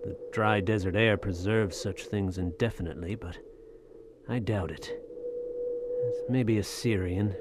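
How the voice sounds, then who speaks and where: An actor playing a bold, greedy Western soldier of fortune in an audio drama, speaking in halting, theatrical phrases. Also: male voice, on the low side, noisy background, lightly acted. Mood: dismissive.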